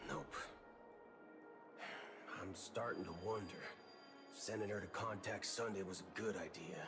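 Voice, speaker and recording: An actor voicing a swaggering, wary space cowboy outlaw in a video game, with a theatrical, colloquial drawl. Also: male voice, on the low side, measured pace, natural voice. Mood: wry suspicion. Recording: loud game music under voice.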